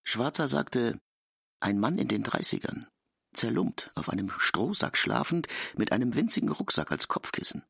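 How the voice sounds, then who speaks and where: A man's voice: medium pitch, lively intonation, moderate pace, creaky, sharp tone, breathy edge, no room sound. A man giving scripted literary narration as a narrator, recorded close in a studio.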